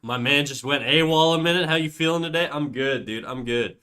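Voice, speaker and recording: A man's voice: a little high, brisk, bright, forceful tone, clean tone. Congested young man, stuffy nasal voice. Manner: casual, swearing, rambling. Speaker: streamer. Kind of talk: casual livestream chat. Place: home room.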